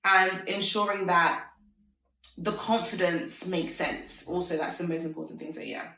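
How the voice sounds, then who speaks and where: Brief: thirties woman, lowish voice, chatty guest, echoey room, mic a bit distant. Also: steady tone, a little slow, pushed and bright. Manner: chatty, many filler "like"s, upbeat. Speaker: interview guest, fashion entrepreneur. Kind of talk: casual conversational interview. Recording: roomy echo, mic at a distance.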